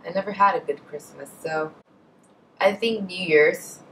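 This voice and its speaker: A woman's voice: fairly low pitch, measured pace, a bit bright, far from the mic, slight background noise, poor audio. A young Indonesian woman with an Indonesian accent, chatting casually to camera in her bedroom and correcting herself as she goes. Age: young adult. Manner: chatty, self-correcting, trails off into asides. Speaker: beauty vlogger. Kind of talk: casual chat answering a tag. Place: bedroom, indoors. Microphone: camera in front, at a short distance.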